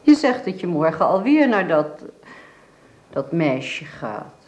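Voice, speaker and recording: An actress in a Dutch radio play voicing a stern, proud aristocratic older grandmother, measured and haughty. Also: on the low side, sing-song, darkish tone, highs cut off. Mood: disapproving.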